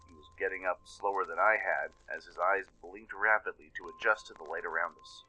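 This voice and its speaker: A man narrating a dramatic first-person story in an expressive, suspenseful manner. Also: sits low, brisk, slight background noise, rough recording. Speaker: narrator and producer of a fan audio drama. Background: music.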